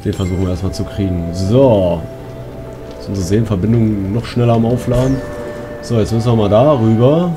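Low-pitched man doing casual game commentary. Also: fairly quick. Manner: colloquial, talking to himself and to viewers. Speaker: let's player. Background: game music.

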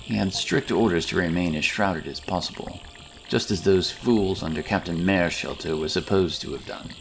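A man reading a scripted fantasy story as an audiobook narrator, in a dramatic, deliberate manner. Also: low voice, slight background noise, poor audio.

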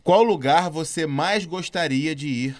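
A man's voice: on the high side, unhurried, no echo. A man with a Brazilian accent co-hosting a casual podcast, reading out questions and counting down. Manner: upbeat, reads questions aloud, counts down. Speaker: podcast co-host. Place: podcast studio. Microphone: studio mic.

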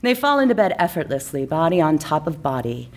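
A woman's voice: low-pitched, very animated, a little fast, a little mellow. A woman reading her own comic story as narrator, in a florid, mock-serious romantic style. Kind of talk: mock-romantic scripted narration for a live comedy story night.

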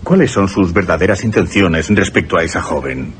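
An actor playing an earnest, troubled young gentleman in a radio horror drama, emphatic and formal. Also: low-pitched, animated, normal speed, slight background noise. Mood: confronting.

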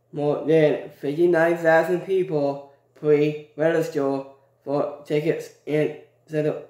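A young man hosting a wrestling news channel, reading updates to his webcam slowly and carefully, with repeats, in a small room. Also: fairly high pitch, darkish tone. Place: small indoor room with ceiling lights. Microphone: webcam at desk, low angle. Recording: echoey room, webcam mic.

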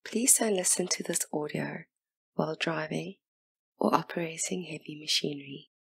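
A woman guiding a hypnosis meditation in a soft, breathy near-whisper, with soothing, gentle, unhurried instruction. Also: deep voice, steady tone, dry recording. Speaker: hypnotist and session guide.

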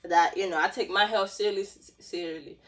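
A woman's voice: medium pitch, steady tone, a little fast. A woman, chatting casually and jokingly to camera while stretching in a small room, filmed on a phone set on the floor. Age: 20s. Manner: chatty, joking, lots of laughs and slang. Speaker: dance vlogger.

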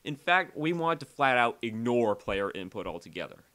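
A man presenting a casual software tutorial in a conversational voice-over, with uneven energy and weight on key words. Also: mid-range voice, sing-song, normal speed.

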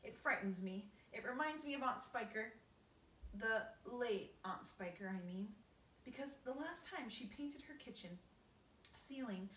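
A woman's voice: fairly high pitch, a bit bright, recorded from a distance, pitched above the performer's own voice. A prim, dramatic female spider as a woman reads her aloud frets over an old family sorrow. The voice comes from a little way off the mic, in a roomy space with a faint hiss underneath. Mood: fretful. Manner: prim, fretful storytelling.

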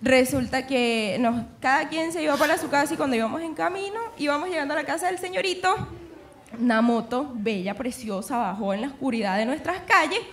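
A woman's voice: sits high, lively intonation, a little fast, a bit bright. A woman from Venezuela with a Venezuelan accent, chatting casually and outspokenly as an audience member at a comedy show. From Yaracuy, Venezuela. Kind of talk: casual banter with a comedian, telling an anecdote.

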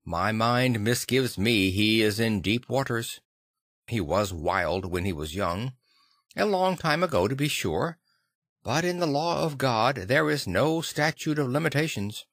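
A man voicing a perplexed, earnest gentleman lawyer in an audiobook, musing and emphatic. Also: mid-range voice, expressive, a little slow, no room sound, studio quality. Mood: mournful. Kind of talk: dramatic audiobook character reading.

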